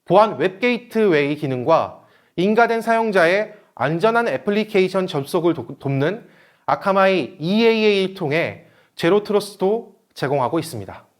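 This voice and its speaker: Formal male presenter, high lively pitch. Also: moderate pace. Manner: formal, read-out delivery. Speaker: presenter at an online seminar. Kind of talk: scripted technical presentation.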